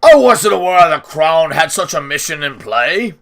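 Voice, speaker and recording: A man's voice: on the high side, expressive, moderate pace, vocal fry, a bit bright. A man voicing an imperious, hot-tempered old lord and doting grandfather in a storybook, blustering when crossed. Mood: suspicious, scornful.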